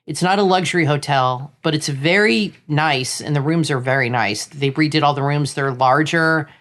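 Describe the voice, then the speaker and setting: A woman's voice: low voice, normal speed, a bit bright. A middle-aged woman from Florida, co-hosting a casual chat and running through opinions in a chatty, opinionated way. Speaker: co-host.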